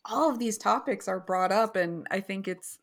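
Young woman podcast host, scratchy and gravelly, eager. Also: medium pitch, moderate pace. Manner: enthusiastic, gushing. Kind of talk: casual podcast interview.

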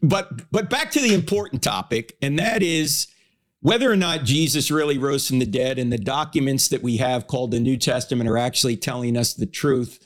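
A hoarse man with a New Jersey accent hosting a radio show and podcast, talking in an energetic, emphatic way. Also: mid-range voice, moderate pace. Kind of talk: casual radio talk.

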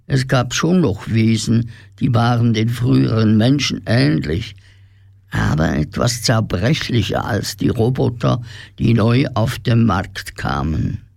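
A man's voice: medium pitch, gravelly, a little mellow, dry recording, studio quality. A man narrating a radio play in a scripted, fairy-tale storytelling style.

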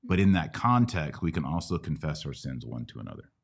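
A man's voice: very deep voice, sing-song, brisk, husky. A middle-aged American man hosting a conversational Bible Q&A, teaching in a didactic way with questions to check understanding. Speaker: podcast host and Bible teacher.

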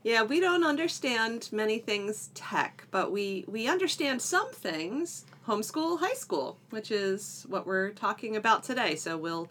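A woman's voice: fairly high pitch, lively intonation, measured pace, hardly any pauses, fairly bright tone. Playful middle-aged woman co-hosting a podcast. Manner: playful, bantering. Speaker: podcast co-host. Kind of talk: casual, chatty podcast talk.

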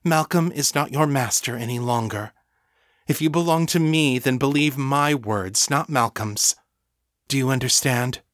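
A man's voice: medium pitch, a little slow, fairly bright tone, no room sound, studio quality, pitched above the performer's own voice, natural voice. A man voicing a kind, protective mistress in an audiobook, speaking with firm compassion.

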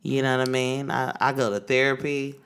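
Bright-voiced casual podcast co-host man. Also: medium pitch, flat delivery, a little fast, clean tone. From East Oakland, California. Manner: loose, swearing, storytelling with slang.

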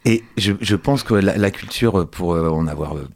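A man hosting a conversational arts interview, speaking in halting, digressive phrases as he feels his way to each question. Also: low-pitched, creaky, a little mellow. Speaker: radio show host interviewing guests.

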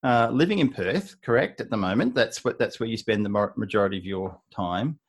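A man's voice: fairly low pitch, animated, fairly quick. A man hosting a business podcast, talking in a chatty, rambling way with many restarts as he introduces a guest. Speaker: podcast host. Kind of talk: podcast interview.

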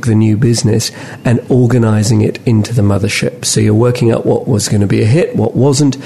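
Middle-aged man from England, podcast guest; low, easygoing and wry, over a faint rumble. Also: vocal fry. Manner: relaxed and wry, laughs easily, with frequent "uh" pauses. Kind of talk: casual interview chat.

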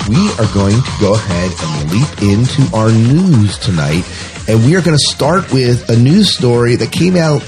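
A man co-hosting a podcast, chatting casually about the news in a husky voice. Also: medium pitch, very animated, moderate pace, music in the background. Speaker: podcast host.

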